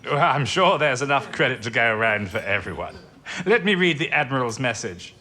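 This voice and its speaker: Crisp, bright formal official. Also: male voice, mid-range voice, expressive, brisk. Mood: pleased. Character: genial, formal British male wartime intelligence official. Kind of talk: period audio drama acting.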